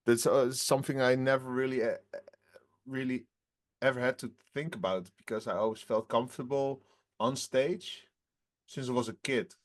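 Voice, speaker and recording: Young man answering with halting laughs. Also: medium pitch, fairly even intonation. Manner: halting, laughs often between phrases. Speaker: rock band singer, guest. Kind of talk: casual interview answers.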